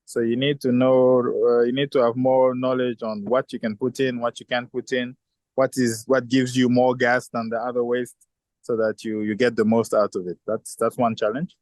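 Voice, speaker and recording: A man's voice: mid-range voice, little pitch movement, moderate pace, darkish tone, no room sound. A man who is a chemical engineer and founder, answering interview questions thoughtfully with many restarts and fillers. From southern Africa.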